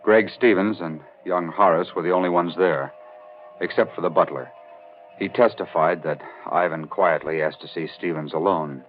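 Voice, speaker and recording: An actor playing a sober man recounting a murder case in measured, matter-of-fact 1940s radio drama narration. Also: low-pitched, faint music behind, lightly acted. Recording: muffled old 1940s radio broadcast.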